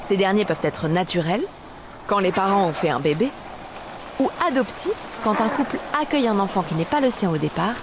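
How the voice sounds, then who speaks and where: A woman's voice: medium pitch, lively intonation, a little fast, natural voice. Woman voicing a cheery cartoon dinosaur teacher, noisy soundtrack. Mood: patient, explaining. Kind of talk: children's educational cartoon explanation.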